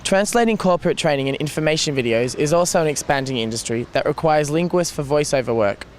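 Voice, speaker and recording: A man delivering scripted narration in an even, informative way. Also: fairly high pitch, quick pace, slight background noise.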